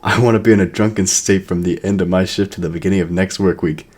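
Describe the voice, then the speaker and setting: A man's voice: low-pitched, fairly even intonation, fast, darkish tone. A man playing a brash, hard-partying mercenary in a sci-fi audio drama, speaking casually. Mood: brash, relaxed. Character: hard-partying, sports-mad mercenary guy.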